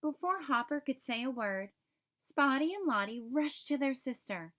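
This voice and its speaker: A woman reading a children's picture book aloud as an expressive storyteller for young listeners. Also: high voice, moderate pace.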